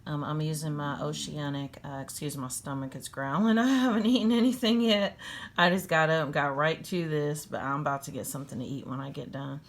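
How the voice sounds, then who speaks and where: A hoarse woman, losing her voice, giving a casual tarot reading in an earnest, rambling, reassuring way. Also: sits low, steady tone, fairly quick. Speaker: tarot reader.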